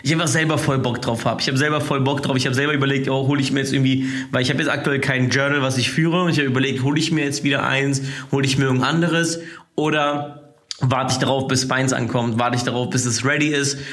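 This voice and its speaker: A man's voice: medium pitch, steady tone, fast, a bit bright. Young guy rambling casually on his podcast, slangy, bit of a leftover cough, mid voice. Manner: loose, slangy, many fillers and restarts. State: lingering cough after a cold. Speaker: podcast host. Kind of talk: casual solo podcast monologue.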